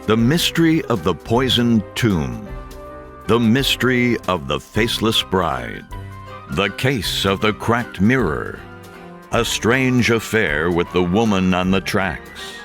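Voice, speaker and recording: Low, slow male promo announcer. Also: fairly bright tone. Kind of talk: dramatic audiobook promo announcement. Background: music score.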